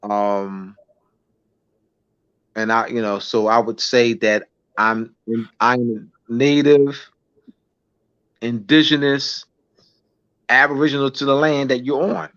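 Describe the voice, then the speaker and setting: A man, a schoolteacher, talking in a casual interview, halting with many restarts and fillers. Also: medium pitch, little pitch movement, a bit bright. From United States. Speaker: schoolteacher guest. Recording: dull lo-fi recording.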